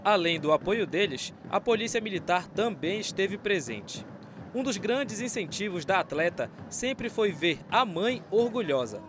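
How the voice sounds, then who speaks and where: A man narrating a local news report in a steady, even delivery. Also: high-pitched, measured pace, noisy background.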